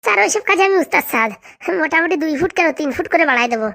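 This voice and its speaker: A woman's voice: very high-pitched, lively intonation, racing, gravelly, sharp tone, heavily acted. A woman voicing an eager, fawning young male henchman in a comedy cartoon, chattering boastfully with a rural Bengali accent.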